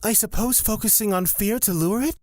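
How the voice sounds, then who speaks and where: A woman's voice: medium pitch, brisk, warm tone, dry recording, natural voice. A woman playing a sweet, serenely confident young male cleric in a fantasy audio drama, earnest and sunny.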